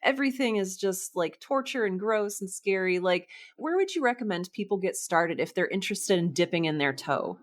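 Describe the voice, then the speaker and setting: A woman's voice: medium pitch, animated, moderate pace, few pauses. A woman, an adult podcast host, interviewing casually in an informal, effusive and encouraging way. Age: 30s or older.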